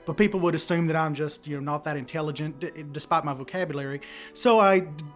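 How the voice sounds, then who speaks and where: Higher-pitched man, mid-Atlantic American accent. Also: steady tone, quick pace, soft background music. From Oklahoma, USA. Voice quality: clipped enunciation. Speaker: podcast cast member, voice performer. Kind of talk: casual explanation.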